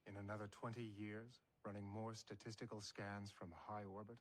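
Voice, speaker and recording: An actor playing a calm alien scientist. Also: male voice, lowish voice, natural voice. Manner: calm, measured. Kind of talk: TV drama clip.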